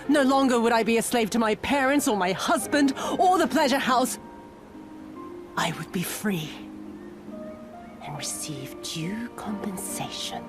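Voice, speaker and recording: A woman's voice: on the high side, animated, over music. An actress voicing a cruel, sadistic female spy villain in a video game cutscene, theatrically bitter and savoring each dramatic word. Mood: scornful, bitter. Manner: slow, dramatic, savoring words, dropping to whispers.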